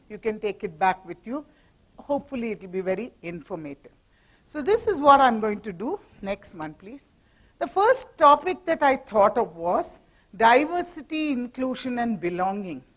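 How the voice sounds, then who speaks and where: A middle-aged woman with an Indian English accent speaks on a mic to a company audience in a hall. Her voice sits a little high, and she keeps the talk light with jokes and teasing asides to the room. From Mumbai, India. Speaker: guest speaker, professor. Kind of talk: corporate talk with slides. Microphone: handheld or stand mic.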